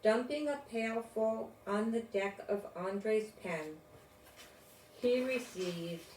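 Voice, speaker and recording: An older woman reading a children's storybook aloud in a deliberate, expressive way, with informal asides. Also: on the high side. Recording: roomy, distant mic, faint noise.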